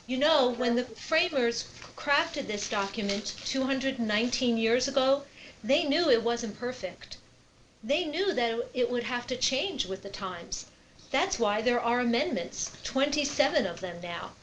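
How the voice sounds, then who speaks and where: A woman delivering a prepared, formal opening speech in an earnest, measured manner. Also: on the high side, a bit bright, slight background noise, low-quality recording. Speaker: constitution center representative giving opening remarks.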